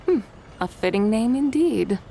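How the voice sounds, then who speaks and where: A woman's voice: a little high, animated, measured pace, vocal fry, background noise. A woman playing a proud, playful ancient female immortal in a video game, speaking in an archaic, poetic, self-assured way. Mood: admiring, thoughtful. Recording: faint music behind the voice.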